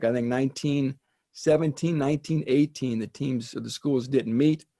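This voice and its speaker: An American man with a dark, relaxed tone and average pitch co-hosts sports talk. He chats through the background before putting his question. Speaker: sports show panelist asking questions. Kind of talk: conversational sports interviewing.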